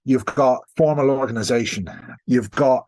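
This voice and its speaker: A man's voice: medium pitch, moderate pace, darkish tone. An Irish man speaking as a historian guest in a podcast interview, explaining things in a discursive way. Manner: discursive, explanatory, checks listener with "right?".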